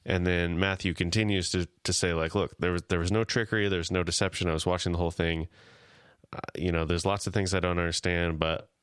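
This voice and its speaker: A man with a low, smooth, resonant voice co-hosts a podcast, recapping scenes in easy conversation and slipping into quick paraphrased bits of dialogue. The sound is close and dry, with no room around it. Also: flat delivery, a bit bright, dry recording. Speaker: podcast co-host. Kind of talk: casual chat.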